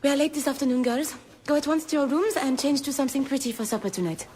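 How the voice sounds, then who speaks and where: An actress playing a stern, protective French governess, with a French accent and a commanding, precise manner in a radio drama. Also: female voice, high-pitched, fast, darkish tone, a little hiss or hum, close to an everyday voice. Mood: composed, gentle. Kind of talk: dramatised radio novel acting.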